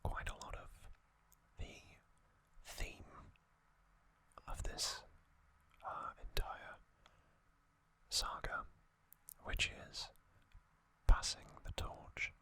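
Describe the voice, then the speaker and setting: Slow whispered ASMR narrator. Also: rough recording. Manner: soft, deliberate, reflective with pauses. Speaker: ASMR host.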